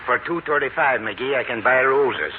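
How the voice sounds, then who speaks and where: Man playing a folksy workingman, literal-minded, deadpan, very lively pitch, tinny radio sound. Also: moderate pace, lightly acted. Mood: deadpan, amiable. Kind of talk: 1940s radio comedy acting. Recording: scratchy, tinny old radio broadcast.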